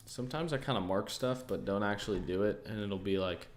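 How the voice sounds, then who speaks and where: Laid-back low-voiced American man. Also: brisk. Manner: easygoing, thinks aloud with many fillers and restarts. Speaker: trading livestream host. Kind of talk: casual livestream chat answering viewers.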